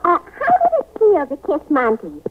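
A woman's voice: high-pitched, huge pitch swings, moderate pace. A woman playing a gushing, naive, man-hungry tavern daughter in a 1940s American radio comedy. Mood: gushing, curious. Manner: gushing, eager. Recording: tinny old radio recording, faint noise.

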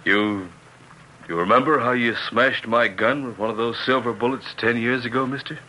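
A man's voice: medium pitch, normal speed, sharp tone, close to an everyday voice. An actor playing a folksy, plain-spoken rancher and father in an old western radio drama. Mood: genial. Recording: noisy old radio recording.

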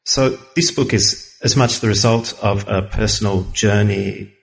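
A man hosting an interview podcast, giving prepared introductions and questions in a measured way. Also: lowish voice, fairly even intonation, creaky, rough recording. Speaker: podcast host, research fellow.

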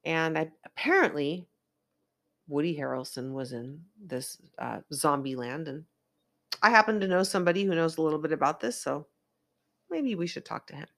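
A woman's voice: low voice, creaky. A woman from Northern California hosting a casual chat show, a little congested and losing her voice, talking in a rambling, chatty way. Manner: rambling, chatty, quick asides. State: congested from a sinus rinse, losing voice. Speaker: host.

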